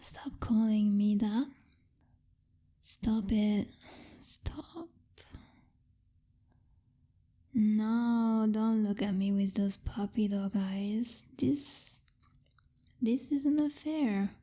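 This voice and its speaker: A woman playing a possessive, jealous vampire girlfriend who is commanding but secretly tender, in a soft, intimate ASMR whisper close to the microphone. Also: mid-range voice. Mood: irritated, pouting. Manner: slow, teasing, domineering, with lingering pauses and hums.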